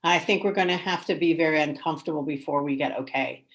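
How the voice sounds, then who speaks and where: A woman's voice: low-pitched, quick pace. A woman speaking earnestly and frankly as a panelist in an online discussion. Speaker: panelist with planning background. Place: remote video call. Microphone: video call.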